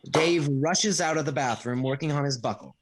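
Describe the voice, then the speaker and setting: A man serves as the narrator of a play reading. His voice is a little higher than average for a man. He reads out scripted stage directions. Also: moderate pace, a little hiss or hum.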